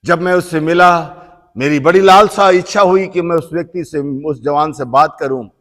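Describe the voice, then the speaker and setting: High-voiced man preaching in a reverberant church. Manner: slow, emphatic, rising to loud repeated phrases then dropping to soft. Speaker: bishop preaching. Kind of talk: sermon.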